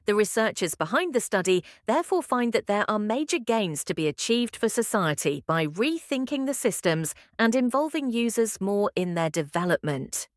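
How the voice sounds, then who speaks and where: Lively-pitched woman reading aloud. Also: normal speed, few pauses, no echo, clean studio sound. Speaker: exam practice narrator. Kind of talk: scripted read-aloud narration.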